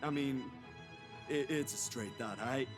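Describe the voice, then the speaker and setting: An actor as slow, tentative, halting man. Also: medium pitch, lightly acted. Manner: hesitant, halting. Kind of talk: visual novel game voice acting. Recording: music clearly behind the voice.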